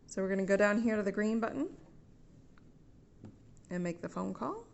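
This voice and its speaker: A woman's voice: medium pitch, normal speed, vocal fry, muffled recording. A woman with an American accent giving a casual, patient, step-by-step how-to at home. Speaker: family member giving a phone tutorial. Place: indoors at home.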